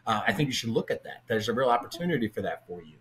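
A man's voice: lowish voice, expressive, racing, a bit bright. A middle-aged man hosting a podcast, chatting energetically with a guest over a video call from his home office. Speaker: podcast host. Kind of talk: casual interview chat. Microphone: webcam on a video call.

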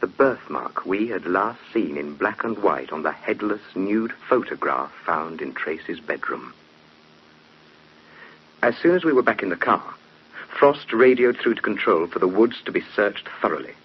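A man's voice: low voice, lively intonation, normal speed, husky. An actor with an English accent playing a young police detective who narrates his case in a radio drama. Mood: reflective narrating. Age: young adult. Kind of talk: 1970s radio drama first-person narration. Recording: muffled, humming old radio recording.

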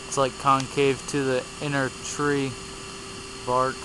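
A man narrates in a flat, even voice, calling out each step as he films. The camcorder audio carries a clear hum and steady noise from outdoors. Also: medium pitch, slow. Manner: plain, matter-of-fact play-by-play. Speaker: camera operator narrating a bee removal. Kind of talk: casual running commentary. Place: outdoors under trees at a bee removal. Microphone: handheld camera mic.

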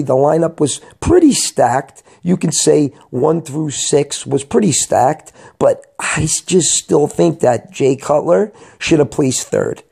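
Hoarse, creaky man doing bodybuilding commentary. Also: mid-range voice, warm tone. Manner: emphatic, profane, colloquial. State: hoarse from a cold. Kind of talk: enthusiastic video commentary.

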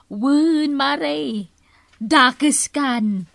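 A woman's voice: high-pitched, drawn-out words, gravelly, fairly bright tone, no echo, full character voice. A woman playing a tattling village neighbour in an Ilocano radio comedy drama, with an Ilocano accent. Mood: confiding.

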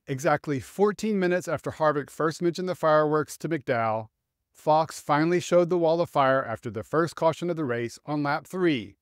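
A man's voice: fairly high pitch, no echo. A middle-aged man presenting scripted motorsport opinion commentary into a close studio microphone. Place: small home studio room. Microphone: close studio microphone on stand.

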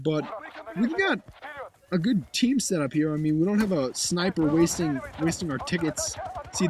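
Hoarse young man commenting on gameplay. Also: on the high side, darkish tone, dry recording. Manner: blunt, swears, sarcastic asides. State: hoarse, losing his voice. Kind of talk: casual game commentary over footage.

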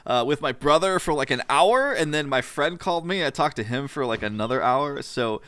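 A man casually narrating his city-building game as the host of a let's play channel, his voice a little raspy and worn, chatty and enthusiastic with plenty of fillers. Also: mid-range voice, animated, normal speed, fairly bright tone, dry recording, clean studio sound. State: slightly raspy, tired voice. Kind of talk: casual gameplay commentary.

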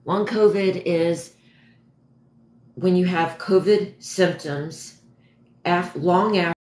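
A woman in her forties with a hoarse voice, chatting casually and haltingly as she answers viewers on a livestream. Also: on the low side, a little mellow. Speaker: livestream host. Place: dim living room at home, indoors. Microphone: phone propped a step or two away. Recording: echoey room, phone at a distance.

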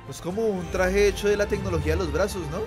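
A man's voice: sits high, quick pace. A young Colombian man with a Colombian accent, chatting and joking with viewers as he plays a video game at home. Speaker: streamer. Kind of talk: casual gameplay commentary. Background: game music.

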